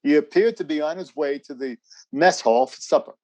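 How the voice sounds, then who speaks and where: An actor playing a brisk, guarded, courteous army major in a radio drama, with a formal, clipped, official way of speaking. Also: male voice, a little high, darkish tone, lightly acted. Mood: grave, matter-of-fact.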